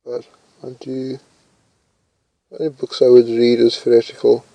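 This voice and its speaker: A man's voice: mid-range voice, monotone, normal speed, creaky, dry recording, lo-fi sound. A young man casually reading personality test questions aloud and answering them, mumbling and thinking aloud with hesitations. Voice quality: says his voice is loud.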